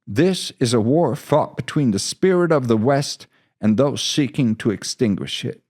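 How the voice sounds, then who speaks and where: A man's voice: medium pitch, animated, measured pace, a little mellow, pitched above the performer's own voice. A man voicing a zealous military commander from a short story, speaking in an emphatic, oratorical way. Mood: fervent, defiant.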